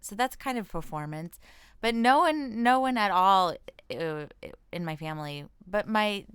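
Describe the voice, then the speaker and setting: A woman's voice: mid-range voice, expressive, unhurried, a bit bright, smooth, no room sound, studio quality. An American woman chatting casually as an interview guest, informal and self-deprecating, thinking aloud as she goes. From Washington state, United States. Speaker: comedian and actress, interview guest. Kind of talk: casual chat.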